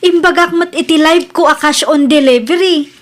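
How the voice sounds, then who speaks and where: High-pitched, earnest young Filipina daughter played by an actress. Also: female voice, normal speed, natural voice. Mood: indignant. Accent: Ilocano. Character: hardworking young daughter selling online, respectful but easily indignant. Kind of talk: Ilocano radio drama acting.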